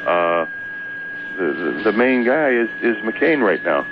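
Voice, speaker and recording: A man calling in to talk radio, chatting about politics in a halting, repetitive and emphatic way. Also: fairly low pitch, big pitch swings. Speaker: radio call-in guest. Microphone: telephone. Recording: tinny phone line, noisy hum, radio aircheck.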